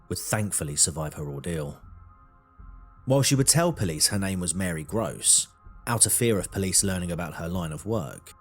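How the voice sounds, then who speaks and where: A man narrating a scripted true crime documentary in a measured, dramatic storytelling manner. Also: low-pitched, breathy edge.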